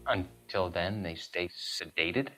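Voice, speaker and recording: An actor playing an earnest, tentative young junior doctor in a game drama, polite and deferential. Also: male voice, deep voice, slow pace, bright, forceful tone, heavily acted, rough recording. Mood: uneasy. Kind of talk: dramatic game cutscene acting. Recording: music under the voice. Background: music.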